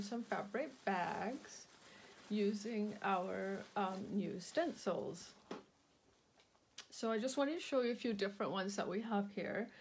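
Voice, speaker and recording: A woman's voice: medium pitch, darkish tone, a little hiss or hum, low-quality recording. A woman hosting a live craft demonstration, chatty and full of ums, with a slightly sore throat. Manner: slow, chatty, many ums, greets viewers by name. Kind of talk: casual live craft demo. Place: indoor craft table.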